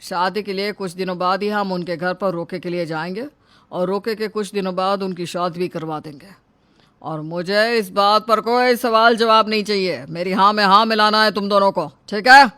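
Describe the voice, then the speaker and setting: A performer voicing a tyrannical middle-aged father who is domineering, threatening and quick to shout in a melodramatic story. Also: mid-range voice, steady tone, clear, resonant tone, natural voice. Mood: bossy. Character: tyrannical, short-tempered middle-aged father and shop owner. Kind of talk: melodramatic audio story dialogue.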